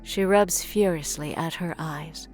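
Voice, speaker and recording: A woman's voice: low voice, measured pace, music in the background. A woman giving scripted narration for a gritty superhero audio drama as its storyteller. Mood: measured.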